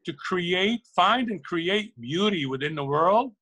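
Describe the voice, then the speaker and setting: A man's voice: sits high, lively intonation, slow, sharp tone, husky. A middle-aged man from Lebanon speaking earnestly and reflectively as an interview guest, often repeating and rephrasing words for emphasis. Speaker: interview guest, record shop owner. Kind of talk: reflective, opinionated interview talk.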